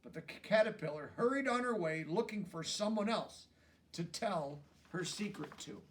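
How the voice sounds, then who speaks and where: A man reading a children's story aloud as an expressive narrator. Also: high voice, moderate pace, recorded from a distance, slight background noise. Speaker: storybook reader.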